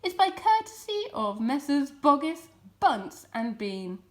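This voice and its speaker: A woman's voice: high-pitched, sing-song, slow, voice pushed up, heavily acted. A woman voicing a jovial, confident male fox leader, making rousing speeches in a children's storybook. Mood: jovial, grand. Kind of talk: children's storybook character reading.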